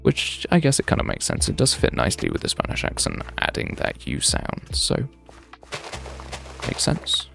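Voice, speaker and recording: A man's voice: extremely low pitch, wildly swooping pitch, moderate pace, gravelly, over music. A young man in his twenties, chatting casually and thinking aloud on a game livestream, drifting between tangents. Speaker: game streamer. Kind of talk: casual livestream chat while playing.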